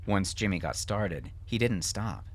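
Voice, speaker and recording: Low-voiced male narrator, lively delivery. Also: measured pace. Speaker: audiobook narrator. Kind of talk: scripted fiction narration.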